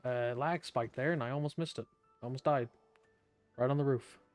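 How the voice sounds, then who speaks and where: A man's voice: medium pitch, moderate pace, faint music behind. Sarcastic streamer man, humming along. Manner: sarcastic, hums and scats while playing. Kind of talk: casual gameplay commentary.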